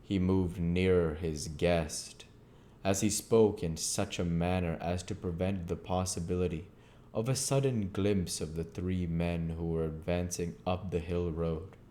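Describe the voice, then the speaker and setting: A man's voice: sits low, dark tone, slight background noise. A man narrating a classic novel as an audiobook reader. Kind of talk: storybook narration.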